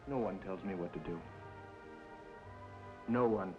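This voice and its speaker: Low, terse noir tough guy. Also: unhurried. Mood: defiant. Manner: terse, guarded. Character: tough, evasive, down-on-his-luck father with stubborn pride. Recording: dull vintage film soundtrack with music.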